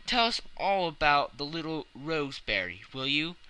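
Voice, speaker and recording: A man voicing a jovial, brash newspaper editor who heckles loudly in an audiobook. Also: fairly high pitch, big pitch swings, moderate pace, smooth. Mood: boisterous, teasing. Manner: loud, exclamatory, teasing. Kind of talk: audiobook character voice.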